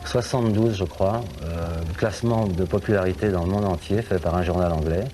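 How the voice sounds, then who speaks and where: Middle-aged male singer from France, very low voice, rambling anecdotal guest, music bed. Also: steady tone, a little fast, mellow. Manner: rambling, anecdotal, with many hesitations. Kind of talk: chatty interview talk.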